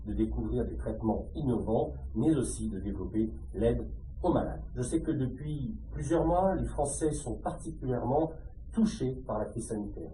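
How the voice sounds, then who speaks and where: Very dark-toned man speaking in echoey room. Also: medium pitch. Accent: French. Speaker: local elected official addressing residents. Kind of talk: formal public appeal speech. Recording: distant, muffled, echoey room with faint noise.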